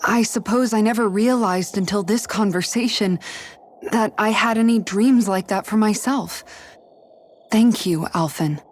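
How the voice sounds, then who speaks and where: Game heroine, played by an actress: caring, practical young woman, sincere and confiding, medium pitch. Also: measured pace, a little hiss or hum, lightly acted. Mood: hopeful, grateful. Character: earnest young woman who dreams modestly, caring, practical. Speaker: video game party member. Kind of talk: English-language video game character dialogue.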